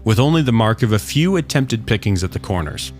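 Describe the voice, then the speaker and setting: Low-voiced man narrating a short story. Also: animated, brisk, a little mellow, soft background music. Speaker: author and narrator of short stories. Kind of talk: scripted audiobook narration.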